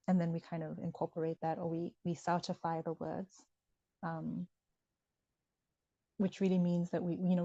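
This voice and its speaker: A woman talks through her ideas in a calm, measured interview. Her voice sits low and mellow, with little rise and fall. The audio is dull and lo-fi with a dry, close sound. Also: no echo. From Ghana. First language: Ewe. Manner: measured, explanatory, thinks aloud. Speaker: author discussing her work. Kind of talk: conversational interview.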